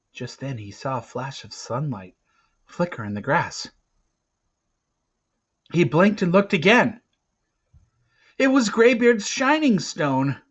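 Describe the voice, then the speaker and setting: A man reads an adventure story aloud at a slow, deliberate pace. His delivery is theatrical and emphatic, moving between hushed phrases and louder ones. His voice sits at an average male pitch, and the recording is dull and lo-fi. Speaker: reader of a children's adventure novel. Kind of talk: dramatic read-aloud narration.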